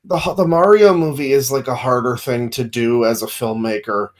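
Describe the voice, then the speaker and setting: A man's voice: medium pitch, normal speed. A man casually discussing films with friends, sweary and often circling hesitantly toward his point. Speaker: podcast co-host.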